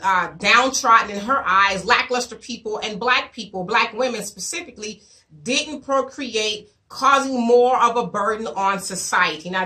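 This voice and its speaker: Hoarse woman host, high and bright, slightly off-mic, emphatic commentary with rhetorical questions. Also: distant mic. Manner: emphatic, rhetorical questions, reads quotes aloud. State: hoarse, voice coming back. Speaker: show host. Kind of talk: commentary monologue to viewers.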